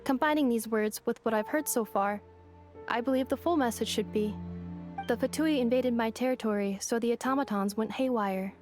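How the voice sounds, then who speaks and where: An actress voicing a calm, analytical female android in a video game, speaking in flat, precise phrases. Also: fairly high pitch, normal speed, natural voice. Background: game music.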